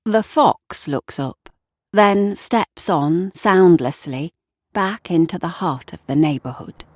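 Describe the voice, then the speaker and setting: Slow, low-voiced woman storyteller, wry. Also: no room sound. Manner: wry, conversational asides to the listener. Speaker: storyteller narrating audio fiction. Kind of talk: dramatic scripted narration with character dialogue.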